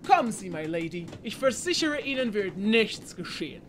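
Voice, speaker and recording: A woman's voice: medium pitch, very animated, moderate pace, a little hiss or hum, lightly acted. A woman playing a suave, courtly male pirate captain with veiled menace in an audio drama. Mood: gallant. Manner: courtly, formal, hesitant fillers.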